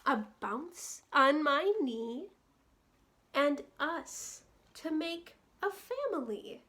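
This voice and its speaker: High-pitched woman reading slowly to young children. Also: lively intonation, sharp tone. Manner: addresses young children directly, playful. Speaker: guest children's storyteller. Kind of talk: picture-book story time with read-alouds and sing-along.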